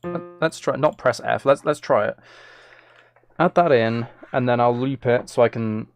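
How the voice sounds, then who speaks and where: A man's voice: medium pitch, normal speed, gravelly, dry recording. Casual male live streamer thinking aloud over music. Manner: playful, self-deprecating, thinks aloud while working.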